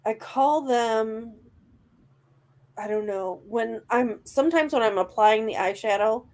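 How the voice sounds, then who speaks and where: A woman's voice: a little high, a little slow, darkish tone. A woman chatting casually as a guest, agreeable and rambling with fillers. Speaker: guest vlogger. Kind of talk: casual chat.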